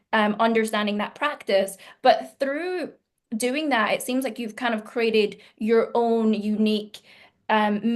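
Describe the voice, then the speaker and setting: A woman in her thirties hosting an interview on a video call, talking in an effusive, emphatic, conversational way. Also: on the high side. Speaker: podcast host, healing teacher. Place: room at home, indoors, video call.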